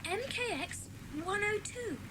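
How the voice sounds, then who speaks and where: Very high-pitched, matter-of-fact schoolgirl. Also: measured pace, noisy background. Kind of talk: dramatised children's audiobook acting.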